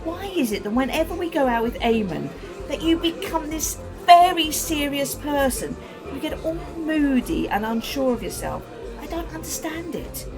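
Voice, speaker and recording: An actress playing a reflective, haunted wife in a radio play, with a measured way of speaking. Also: female voice, high-pitched, lively intonation, music in the background, a crowd in the background, rough recording. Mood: exasperated. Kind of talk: radio play acting with letter-like narration.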